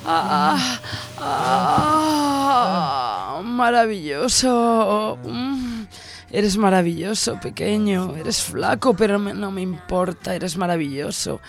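A woman's voice: mid-range voice, expressive, takes it slowly, gravelly. A woman playing a bold seductress in an audio drama, coaxing and breathless as she pursues a shy youth. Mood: breathy desire. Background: music.

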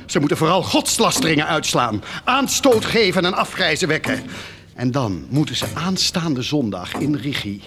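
An actor in a Dutch radio drama playing a driven, scheming war leader with an intense, rhetorical delivery. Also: male voice, a little high, very animated, normal speed, noisy background. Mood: scheming, forceful. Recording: music behind the voice.

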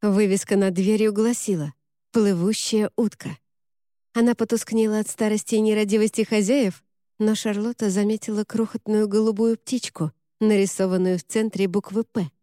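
A woman reading a novel aloud as an audiobook narrator, in an even, measured way. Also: medium pitch, no room sound, studio quality. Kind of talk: scripted narration.

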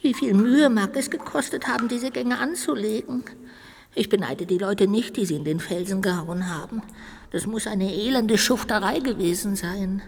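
A woman playing a curious, cheerful little ghost in a radio play, musing aloud to itself with drawn-out hesitations and little exclamations. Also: mid-range voice, natural voice. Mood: awed. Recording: long hollow reverb, clear background noise.

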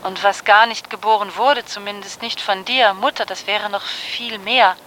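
A woman's voice: medium pitch, steady tone, moderate pace, pushed and bright, slight background noise, close to an everyday voice, poor audio. An actress playing a grown child pleading reproachfully with a mother, declamatory and insistent, in a literary radio drama.

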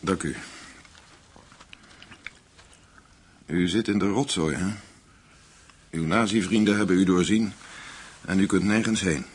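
An actor playing a composed, shrewd American CIA agent in a radio drama, measured, polite and probing. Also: male voice, low-pitched, slight background noise, close to an everyday voice. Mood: coolly probing. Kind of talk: Dutch radio drama acting.

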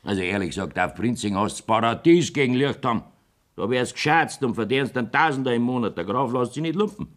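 A man's voice: medium pitch, lively intonation, brisk, fairly bright tone, close to an everyday voice. A jovial, evasive Bavarian village mayor played by a male actor blusters in earthy dialect. His mid-range voice swings widely up and down, in folksy radio play style. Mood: jovial, scheming.

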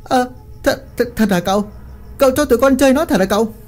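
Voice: medium pitch, expressive, soft background music, pitched above the performer's own voice, natural voice. A storyteller voicing a servile, stammering male henchman. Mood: nervous. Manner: stammering, fast.

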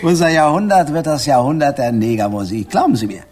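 Tipsy flirty composer, pitch swooping all over, florid talk, music behind him. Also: male voice, sing-song, a little fast, a little mellow. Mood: eager. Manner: playful, florid, chuckling, courtly. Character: flirtatious, tipsy, poetic male composer in 1917 Paris. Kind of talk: German radio drama acting.

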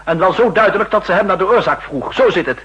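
An actor playing a driven counter-intelligence official, formal, pointed and emphatic, in a 1960s radio drama. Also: male voice, sits high, lively intonation, fast, fairly bright tone, highs cut off, exaggerated voice. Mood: accusing, triumphant. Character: driven, insistent male counter-intelligence official making accusations. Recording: rough old 1960s radio recording.

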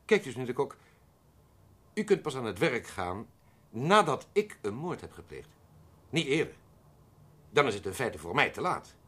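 A self-important, prickly male schemer from a radio play. His husky voice swings widely in pitch, and his speech is precise, emphatic and theatrically formal. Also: very animated, normal speed, long pauses, a bit bright. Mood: explaining, self-assured. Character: pompous, prickly accountant coolly proposing a perfect murder. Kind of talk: Dutch radio drama acting.

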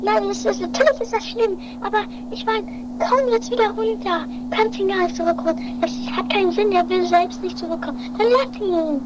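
A woman playing an anxious, high-strung, pleading woman in an improvised trashy radio play, with theatrical, plaintive delivery. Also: fairly quick. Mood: pleading, alarmed. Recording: very noisy 1980s home-made recording.

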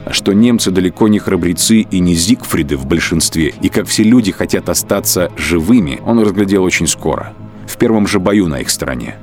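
A man with a very low voice narrates a radio drama in a grave, steady way. Soft music plays quietly behind him. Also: brisk.

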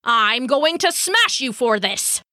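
A woman's voice: fairly high pitch, big pitch swings, slow pace, bright, forceful tone, no echo, clean studio sound, full character voice. A woman voicing a hot-tempered male thunder god in a storybook myth, in a roaring, blustering manner. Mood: furious.

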